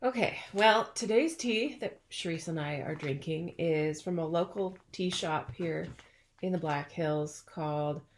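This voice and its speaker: A woman's voice: deep voice, unhurried, slight background noise. A middle-aged woman hosting a casual chat, telling stories in a chatty, playful way and laughing often. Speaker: podcast host.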